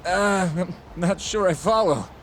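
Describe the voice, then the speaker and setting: A man's voice: high-pitched, a little slow, slight background noise. An actor playing a pushy, easily rattled male street tout with a salesman's manner in a video game. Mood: nervous. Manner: wheedling salesman patter.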